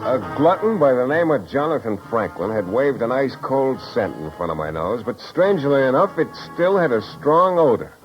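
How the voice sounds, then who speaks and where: A man's voice: medium pitch, lightly acted. An actor playing a cocky, sardonic private detective in a 1950s radio drama, wisecracking and narrating his own case. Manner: wry, sardonic. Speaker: lead actor and first-person narrator. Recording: muffled old radio recording with music.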